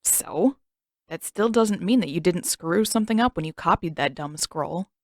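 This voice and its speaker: A mocking, bossy teenage boy, as a woman reader puts him on in a storybook reading. He talks bluntly and dismissively, set a touch lower and louder than the narration. The recording is dry and close. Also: lively intonation, normal speed, dry recording. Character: teenage boy, sarcastic, bossy older brother.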